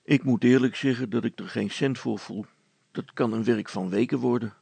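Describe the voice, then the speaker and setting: A reluctant village helper from a storybook, played by a male reader, plain-spoken and drily cheeky. He grumbles in an everyday mid-pitched voice with heavy gravel in it. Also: normal speed, a little mellow, dry recording. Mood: plainly contrary. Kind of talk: storybook character voice.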